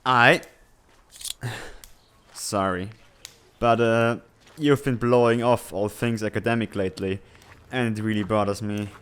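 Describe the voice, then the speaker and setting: A man playing an earnest, responsible, tech-savvy teenage student in a comic fan dub, speaking in a measured, slightly formal, exasperated way. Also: lowish voice, fairly even intonation, fairly bright tone, clean tone. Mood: exasperated, urgent.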